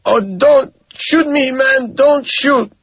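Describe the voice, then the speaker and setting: A man's voice: slow pace, fairly bright tone, slightly rough, no room sound, lo-fi sound, heavily acted. A man voicing a terrified young male cab driver crying out in panic as he pleads for his life, in an audiobook. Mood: panicked pleading. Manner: panicked high-pitched crying out.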